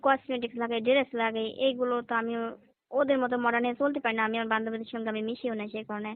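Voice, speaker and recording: A woman's voice: fairly high pitch, fairly even intonation, very fast, dry recording, lightly acted. A young woman playing a poor, hesitant college student in a phone-call drama, deferential and reluctant as she explains herself.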